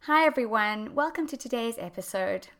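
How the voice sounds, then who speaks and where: Slightly croaky woman hosting a podcast. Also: on the high side, animated, moderate pace, fairly bright tone, soft background music. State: croaky voice from a chest infection. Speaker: podcast host and health coach. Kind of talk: solo podcast talk.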